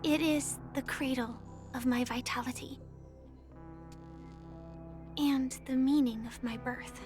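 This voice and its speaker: An actress voicing a gentle, quietly sincere young woman with a secret in a video game, with a soft, breathy, measured delivery. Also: high-pitched, fairly even intonation, soft background music. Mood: wistful, confiding.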